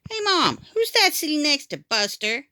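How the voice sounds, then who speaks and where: Woman acting little kindergarten boy, storybook character, high voice, loud, excitable, stubborn, swooping intonation. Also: normal speed, no room sound, full character voice. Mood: cheeky. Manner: loud, exclamatory, childlike. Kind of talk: children's storybook read-aloud.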